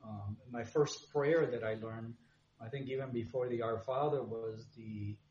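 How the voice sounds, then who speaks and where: A man from Puerto Rico, a friar, answering interview questions in a soft, earnest way. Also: mid-range voice, steady tone, a little slow. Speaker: friar and guest. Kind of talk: podcast interview. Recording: roomy, dull sound with faint hum.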